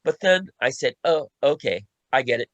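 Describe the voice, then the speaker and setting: A man's voice: medium pitch, lively intonation, moderate pace, a bit bright, no room sound, lo-fi sound. A man talking casually on a panel as a co-writer, often repeating himself and restarting phrases as he recounts a creative process. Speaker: radio play co-writer and panelist.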